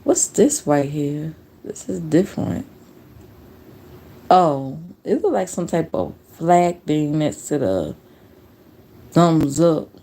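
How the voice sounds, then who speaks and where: Chatty woman in her late forties, low-pitched. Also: slow pace, pauses often. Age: 40s. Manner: relaxed storytelling with slang and laughs. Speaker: streamer. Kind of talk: casual live-stream chat.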